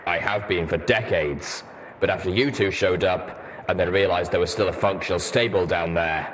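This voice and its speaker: A man's voice: sits low, fairly even intonation, normal speed, fairly bright tone. A man voicing a grim armored ghoul pony soldier, bitter and guarded, with a stern, weighty delivery. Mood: regretful. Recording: long reverb, faint noise.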